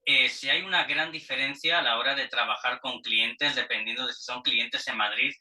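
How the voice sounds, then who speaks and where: A man with a Spanish accent from Spain interviewing in long, discursive questions, hesitant and deliberate, often repeating words. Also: mid-range voice, steady tone, pushed and bright, distant mic, rough recording.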